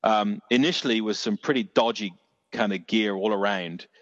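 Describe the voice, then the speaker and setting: Dorset man, lowish voice, chatty rambling storyteller, long reverb on a dull recording. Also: moderate pace, a bit bright. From England. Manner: rambling storyteller, many restarts, mild swearing. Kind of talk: casual chat.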